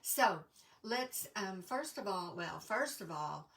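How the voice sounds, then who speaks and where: A middle-aged woman with a stuffy, congested voice, chatting casually to viewers, with lots of ums and throat clearing. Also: medium pitch, expressive, slow pace, a bit bright, distant mic. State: congested from allergies. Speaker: crafting demonstrator. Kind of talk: casual live-stream chat.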